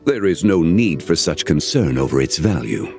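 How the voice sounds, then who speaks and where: An actor playing a calm, formal, ancient-seeming gentleman of refined taste, speaking with measured diction in a game cutscene. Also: low-pitched, faint music behind.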